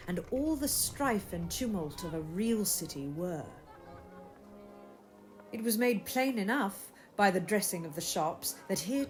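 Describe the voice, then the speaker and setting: A woman reading literary fiction as an audiobook narrator, with expressive scripted delivery. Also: mid-range voice.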